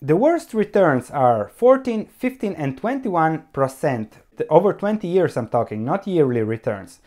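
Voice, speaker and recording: A man's voice: fairly high pitch, unhurried. A man in his thirties hosting a solo investing video, speaking to camera with emphatic, gesturing delivery on a lapel mic. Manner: animated, gesturing with both hands, emphatic.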